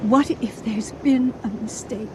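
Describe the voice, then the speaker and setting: An actress playing an anxious, doubting wife in an old-time radio thriller, hesitant and fretful in a dramatic style. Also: female voice, fairly high pitch, a little slow, warm tone, a little hiss or hum, lightly acted. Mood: uneasy. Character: anxious, doubting wife plotting to hide a corpse.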